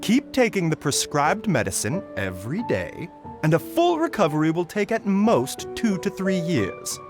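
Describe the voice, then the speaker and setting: Lilting, higher-voiced courteous doctor. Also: male voice, huge pitch swings. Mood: calm, reassuring. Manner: reassuring, measured, formal. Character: calm, courteous male doctor, reassuring and precise. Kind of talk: video game voice acting. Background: background music.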